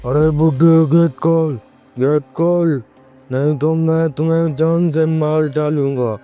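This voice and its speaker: A man plays a menacing vampire demon for a horror cartoon. The voice is smooth and dark, issuing repeated taunts and orders at a measured pace. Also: on the high side, steady tone, faint music behind. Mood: threatening. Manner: commanding, repetitive, taunting. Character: menacing male blood-drinking demon who hypnotises and threatens. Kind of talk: animated horror story dialogue.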